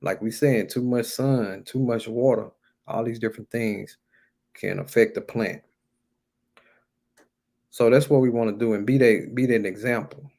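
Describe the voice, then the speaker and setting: A man's voice: mid-range voice, measured pace, gravelly, long pauses, a little mellow. An American man preaching in an informal, colloquial way, stressing and repeating key phrases as he exhorts his listeners. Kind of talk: informal sermon, exhorting talk.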